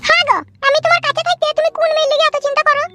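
A woman's voice: very high-pitched, steady tone, rapid-fire, sharp tone, faint music behind. A woman voicing a touchy, nagging village wife and mother in a comic cartoon, with a rural West Bengal accent. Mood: accusing, sulky.

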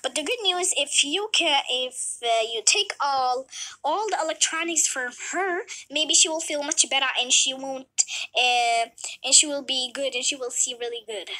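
A woman voicing a calm, soothing doctor in a homemade comedy skit, speaking slowly and hesitantly. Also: sits high, rough recording. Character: low-voiced calm doctor, adult.

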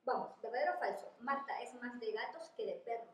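A woman's voice: a little high, fast talker. A woman, casually hosting a quiz game as interviewer. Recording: echoey room, off-camera.

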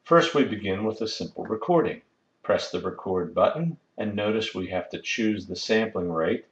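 A man's voice: lowish voice, animated, moderate pace, reverberant, lo-fi sound. A man giving a methodical, step-by-step technical lesson as an instructor. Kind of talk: explanatory screen-recorded lesson.

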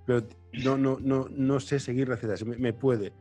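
A man with an accent from Spain chats as a podcast host in a medium-pitched voice. He restarts and repeats words for emphasis as he talks casually, with faint music underneath. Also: fairly even intonation, moderate pace, warm tone. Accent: Spain Spanish. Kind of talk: casual interview chat.

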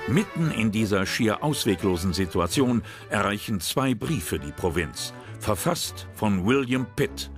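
A man narrating a history documentary drama in a measured, serious way. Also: on the low side, lively intonation. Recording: narration over loud music.

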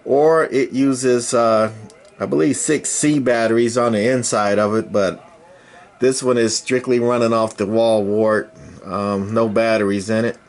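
Male hobbyist presenter, medium pitch, casual and unscripted, halting matter-of-fact explanations, handheld camera sound. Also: fairly even intonation. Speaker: hobbyist presenter demonstrating radio gear. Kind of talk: casual unscripted product demonstration. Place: indoors at a home radio bench. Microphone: handheld camera mic, speaker behind camera.

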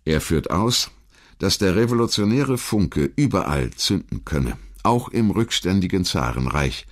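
A man with a low-pitched voice narrates like a documentary audiobook. His delivery is measured and articulate, following a prepared script. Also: sing-song.

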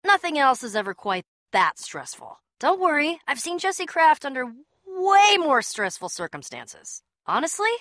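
A woman's voice: sits high, big pitch swings, measured pace, hardly any pauses, fairly bright tone, no room sound, clean studio sound, full character voice. An actress playing a bold, sardonic young woman adventurer in a video game, with a wry, punchy, casual delivery. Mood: casual, reassuring.

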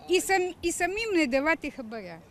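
Woman on the street, high voice, keeps stumbling and umming, faint traffic. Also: very animated, a little fast. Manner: hesitant, many fillers. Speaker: passerby. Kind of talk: street vox pop.

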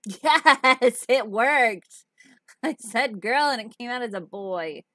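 A woman's voice: a little high, lively intonation, takes it slowly, pushed and bright, no echo. A woman in her thirties casually chatting through a life-simulation game, sniffly and congested, on a headset at home. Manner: chatty, thinks aloud, sniffly from allergies. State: stuffed-up nose. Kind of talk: casual gameplay commentary. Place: home room at a desk. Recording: game music under the voice. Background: game music.

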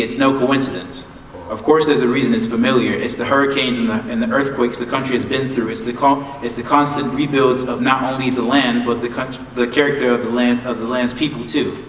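A man's voice: medium pitch, fairly bright tone. A young man performing spoken word poetry on a theater stage, with a rhythmic, declamatory delivery. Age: young adult. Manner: rhythmic declamation with repeated phrases. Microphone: microphone on a stand. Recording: echoey hall, distant, some audience noise.

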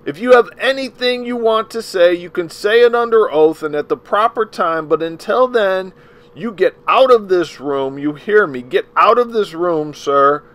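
A man voicing a gruff, short-tempered older judge who roars sternly at the courtroom. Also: sits high, normal speed, a bit bright, pitched above the performer's own voice. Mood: roaring. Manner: roaring, stern.

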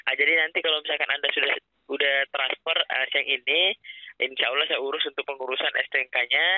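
A man making a persuasive pitch over the phone, speaking insistently with some hesitation. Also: fairly even intonation, quick pace, no room sound. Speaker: phone caller claiming to be a prize official. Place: on a phone call. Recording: narrow, dull phone line.

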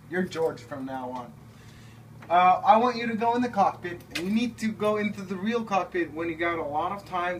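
A man from Norway in his thirties talks loosely and rambles, restarting his sentences. His voice sits a little high. The camera mic hears him from a few feet off, with a faint fan hum behind him. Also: distant mic, rough recording. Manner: chatty, rambling, many fillers and restarts. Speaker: flight simulator builder. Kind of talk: casual video request. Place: home-built airliner cockpit simulator, indoors. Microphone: camera held by his son a few feet away.

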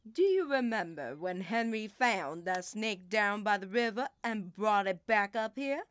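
A woman's voice: mid-range voice, expressive, a little slow, no room sound, lightly acted. A woman voicing an older, motherly grandmother in a full-cast audiobook, with a drawling Southern accent and an affectionate, teasing manner. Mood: fond.

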